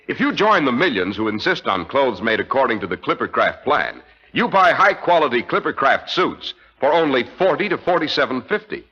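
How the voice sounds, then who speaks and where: A man works as a vintage radio announcer, reading in a polished, emphatic manner. His voice is bright and forceful with lively swings of pitch. The recording sounds dull and old. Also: husky. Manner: polished, emphatic, scripted delivery.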